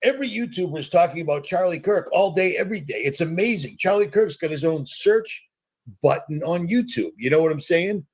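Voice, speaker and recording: A man's voice: a little high, lively intonation, fairly quick, darkish tone. A man in his fifties from the United States, hosting a live reaction stream and ranting in a conspiratorial, repetitive way. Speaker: host.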